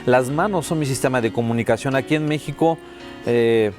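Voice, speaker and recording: A man with a Mexican accent voicing a deaf guest's words as an interpreter in a TV interview, steady and first-person with many "eh" fillers. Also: mid-range voice, fairly quick, faint music behind.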